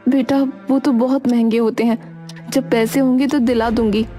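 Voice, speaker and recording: A woman plays a poor village mother in a cartoon moral tale. Her voice is high and mellow, yet she talks firmly, a strict and worried parent who scolds plainly. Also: fairly even intonation, moderate pace, faint music behind, lightly acted. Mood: firm, cautioning. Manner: scolding, firm, plain-spoken. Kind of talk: cartoon moral story acting.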